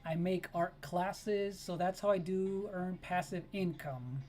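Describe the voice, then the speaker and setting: A woman's voice: lowish voice, slow pace, warm tone. A woman whose first language is Spanish, chatting casually with viewers on a live art stream while she draws, greeting them by name and laughing often.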